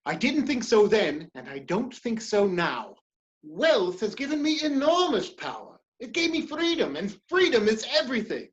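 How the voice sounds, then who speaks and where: Woman playing an impassioned, proud politician, high-pitched. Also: big pitch swings, normal speed, muffled recording. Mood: earnest, fervent. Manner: theatrical, impassioned, emphatic. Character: proud ambitious male politician, defiant under threat of ruin. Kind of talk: dramatic reading of a stage play.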